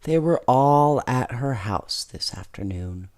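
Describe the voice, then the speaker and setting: Male bedtime storyteller, unhurried and gentle, with lively rise and fall and informal asides. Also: mid-range voice.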